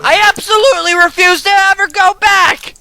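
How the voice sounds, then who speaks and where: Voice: very high voice, fairly even intonation, moderate pace, sharp tone, heavily acted. An actor playing a hot-tempered, stubborn teenage boy in an amateur anime-style radio drama, blunt and combative. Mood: defiant.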